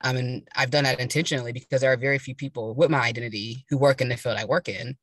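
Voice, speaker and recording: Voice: mid-range voice, steady tone, fast, husky, no echo. A young adult social worker from Kansas talking on a video call from a parked car, thinking aloud with lots of ums. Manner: thoughtful, thinking aloud with many fillers. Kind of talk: casual panel talk.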